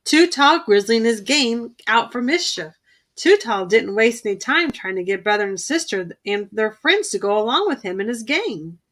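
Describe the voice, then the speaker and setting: A woman reading a children's picture book aloud in an expressive storytelling style. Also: on the high side, moderate pace. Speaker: storybook reader.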